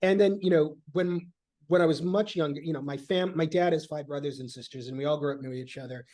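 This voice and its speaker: Rambling man with a higher voice. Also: darkish tone. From Michigan. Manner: rambling, halting with many fillers. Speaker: guest. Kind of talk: casual chat.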